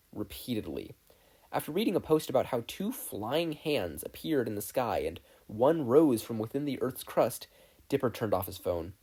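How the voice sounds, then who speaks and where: A narrator reading a fan fiction story aloud in an expressive, scripted style. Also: medium pitch, husky. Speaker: podfic narrator.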